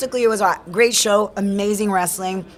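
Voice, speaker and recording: A woman with a raspy, strained voice, a ring announcer chatting casually on a podcast. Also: mid-range voice, fast. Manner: lively, laughs often. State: strained, worn voice. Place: room in a club, after a show.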